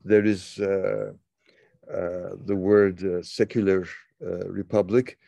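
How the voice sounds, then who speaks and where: A man from Turkey hosting a conversation over a video call, talking slowly with many fillers and restarts. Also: low-pitched, level tone, vocal fry, mellow, dry recording. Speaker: interview host. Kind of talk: casual conversation.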